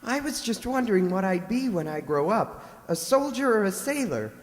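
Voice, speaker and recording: With very lively intonation in a reverberant hall, a woman plays a little frontier boy. He is eager, naive and full of questions, with folksy grammar, in a radio drama. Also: mid-range voice, moderate pace, close to an everyday voice. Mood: boastful, eager. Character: curious, naive frontier little boy, boastful younger brother. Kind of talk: radio play acting.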